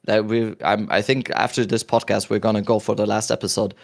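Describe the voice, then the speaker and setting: Male host, 30s, German accent, lowish gravelly voice, easygoing rambling delivery. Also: fairly quick. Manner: relaxed, rambling with restarts. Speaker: podcast host. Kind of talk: casual chat.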